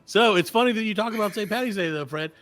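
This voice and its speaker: A man's voice: high-pitched, fast, a bit bright. A man co-hosting a comedy podcast, reading out odd news stories with jokey asides in casual banter. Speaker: podcast co-host.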